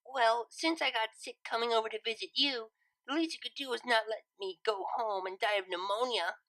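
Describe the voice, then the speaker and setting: A woman's voice: a little high, moderate pace, fairly bright tone, pitched above the performer's own voice, poor audio. A woman voicing a melodramatic, sulky female pony sick with a cold, in a nasal, complaining voice for a story reading. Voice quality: nasal, stuffed-up. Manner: complaining, overdramatic.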